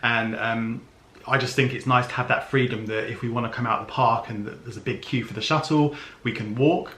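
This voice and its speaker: A man's voice: medium pitch, steady tone, fairly quick, sharp tone. A man vlogging, casually explaining travel plans with many ums. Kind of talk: casual planning chat. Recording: echoey room.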